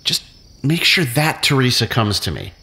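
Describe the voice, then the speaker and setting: A man's voice: medium pitch, wildly swooping pitch, normal speed, gravelly, pushed and bright, exaggerated voice. A man playing an obsessive, manipulative captive in an audio drama, with an intense, commanding, brooding manner. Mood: angry, demanding.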